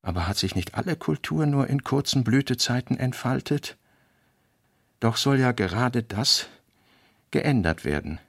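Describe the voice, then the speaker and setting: A man reads scripted, essayistic notes in a radio play. His pitch is average for a man and the sound is very dry. The phrasing is terse and deliberate, set out point by point. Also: lively intonation, creaky, slightly rough, no echo.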